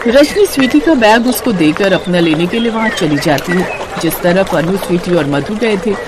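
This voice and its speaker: A woman's voice: fairly low pitch, expressive, moderate pace, background noise. A woman narrator reading a scripted moral story.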